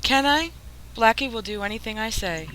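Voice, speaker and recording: A woman's voice: a little high, expressive, moderate pace, fairly bright tone. A woman playing a flirtatious, scheming crook in a 1940s-style radio drama, coaxing and gushing. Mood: adoring, coy. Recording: faintly noisy radio drama recording.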